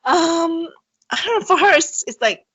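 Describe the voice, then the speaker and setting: A woman's voice: very high-pitched, animated, normal speed, sharp tone, no room sound. A woman from Thailand with a Thai accent, whose first language is Thai, chatting as a podcast guest in a hesitant way with many fillers and restarts and frequent laughs. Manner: laughs often between phrases. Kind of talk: casual interview conversation.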